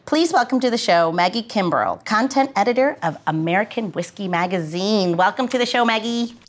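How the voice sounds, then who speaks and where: A woman's voice: medium pitch, expressive, brisk. A woman hosting a podcast in a casual, chatty style. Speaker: podcast host.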